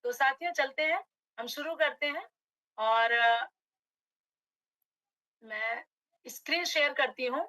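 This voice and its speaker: Woman, middle-aged, from Uttar Pradesh; motivational webinar trainer, slightly hoarse, high voice, dry close sound. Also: takes it slowly, long pauses, sharp tone, dry recording, rough recording. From Uttar Pradesh, India. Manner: addresses audience as friends, motivational. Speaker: direct selling trainer. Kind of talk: online webinar presentation.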